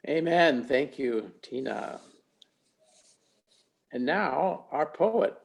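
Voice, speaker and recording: A man's voice: on the high side, lively intonation, creaky. A man leading a worship service who briefly thanks speakers and introduces the next part. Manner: brief, measured transitions between speakers. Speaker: service leader.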